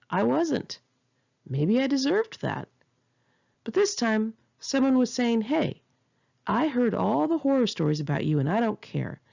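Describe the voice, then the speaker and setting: A woman's voice: lowish voice, vocal fry, darkish tone. A woman reading her own memoir as an audiobook, in an earnest, emphatic, confessional manner, on a slightly dull home recording. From United States.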